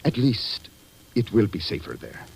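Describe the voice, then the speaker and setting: An actor playing a grave, courteous government doctor, speaking formally and solemnly in an old radio drama. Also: male voice, lowish voice, big pitch swings, unhurried, creaky, slightly rough, heavily acted, rough recording. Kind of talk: 1940s radio acting.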